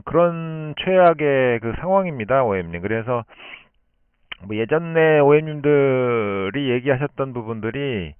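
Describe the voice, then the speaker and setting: A man's voice: medium pitch, gravelly, clean tone, dry recording. A man talking as an amateur radio operator, explaining things in polite, formal speech over a shortwave radio link. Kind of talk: explanatory radio contact talk. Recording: muffled narrowband shortwave radio transmission.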